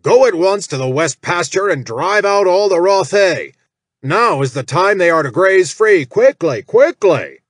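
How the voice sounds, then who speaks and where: A man's voice: high-pitched, expressive, measured pace, dry recording, studio quality, voice pushed up. A man voicing a bossy male orc overseer who bellows orders, repeating himself. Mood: bellowing orders.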